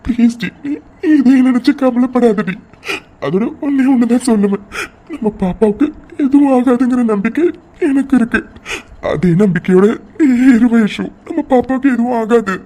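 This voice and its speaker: A woman playing an anxious young husband in an emotional audio drama, pleading tenderly and haltingly as he reassures his wife. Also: on the high side, dark tone, natural voice. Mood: anxious, reassuring. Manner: pleading, halting, repeats words, tearful. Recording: faint background noise.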